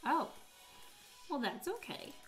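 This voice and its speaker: A woman's voice: sits high, very animated, slow pace, a bit bright, soft background music, low-quality recording. A woman casually chatting with her live-stream audience while crafting, playful and teasing as she reads chat. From United States.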